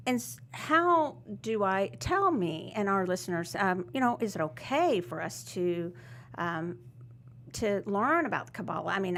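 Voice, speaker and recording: A woman's voice: mid-range voice, slight background noise. A woman with an East Texas accent hosting a podcast, chatting casually with frequent restarts and fillers. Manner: warm, chatty, hesitant, many restarts. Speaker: podcast host. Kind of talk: casual interview chat.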